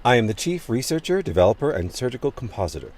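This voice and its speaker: An actor playing a formal chief researcher being interviewed in an audio drama. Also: male voice, medium pitch, very animated, fast talker, darkish tone. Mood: cooperative, formal. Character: chief researcher at a synthetic biology lab, formal. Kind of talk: audio drama acting, recorded interview scene.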